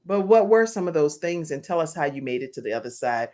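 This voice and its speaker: Energetic woman talk show host. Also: low voice, quick pace. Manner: energetic, chatty, emphatic. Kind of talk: upbeat talk show hosting. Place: virtual studio.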